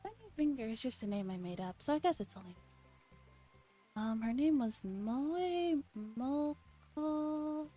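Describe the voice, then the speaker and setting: Female ASMR streamer, gentle narrated read-aloud that slips into whispers, light music underneath. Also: sits high, expressive, measured pace. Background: background music.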